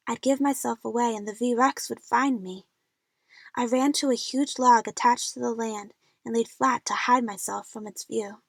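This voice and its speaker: High-voiced, creaky woman playing a frightened young heroine. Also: no room sound, studio quality. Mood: fearful, suspenseful. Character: frightened young female King Kong fan narrating her jungle ordeal. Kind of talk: first-person scripted audio drama narration.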